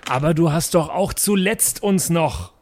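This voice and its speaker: A man's voice: a little high, expressive, unhurried, darkish tone, a little hiss or hum. A man playing a defiant, accusing superhero in an improvised audio role-play, with a confrontational, sarcastic manner. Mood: sarcastic, bitter. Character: defiant, accusing young superhero Reden, grieving brother.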